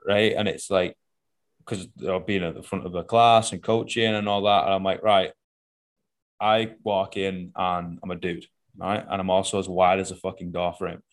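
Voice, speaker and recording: A very deep-voiced man with a Northern English accent talks casually in a podcast chat. He is a fitness coach, blunt and profane, and keeps checking in with "right?" and "man". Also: level tone, normal speed. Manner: blunt and profane, says "right?" and "man" after almost every point.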